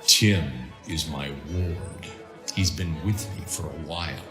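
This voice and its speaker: A man's voice: very deep voice, takes it slowly, roomy sound, music in the background, voice pushed down. A man voicing a suave, guarded billionaire bachelor with a dry, curt manner in an audio comic drama.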